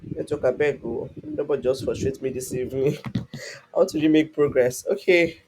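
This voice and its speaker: A man with a husky voice, teaching a live online class in a rambling way, full of restarts and fillers. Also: on the high side, normal speed, background noise. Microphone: online call.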